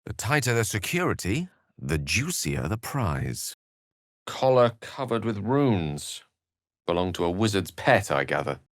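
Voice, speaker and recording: A man's voice: on the low side, very animated, measured pace, no room sound, clean studio sound. A man voicing a courteous, self-assured wizard companion in a video game, articulate and wryly theatrical. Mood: observant. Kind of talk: video game character voice lines.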